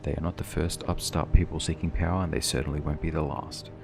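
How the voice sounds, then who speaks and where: Very deep, creaky male quest reader. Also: little pitch movement, fast. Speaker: gaming video narrator. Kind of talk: scripted dramatic reading of quest text. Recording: voice-over with game music behind.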